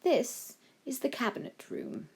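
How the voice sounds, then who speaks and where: A woman's voice: high-pitched, big pitch swings, unhurried, husky, voice pushed up, full character voice. A woman reader voicing a formal, dutiful male aide who explains things deferentially, like a butler. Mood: dignified.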